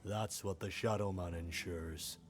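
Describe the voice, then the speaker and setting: A man's voice: low-pitched, fairly even intonation, measured pace. A man voicing a grim, foreboding townsperson in a video game, ominous and theatrical. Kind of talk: video game character acting.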